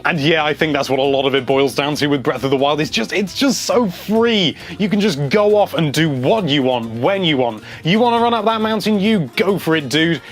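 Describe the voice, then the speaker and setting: A man's voice: on the high side, a bit bright. A man hosting a casual video, reading out viewer comments and chatting about them in a rambling, enthusiastic way.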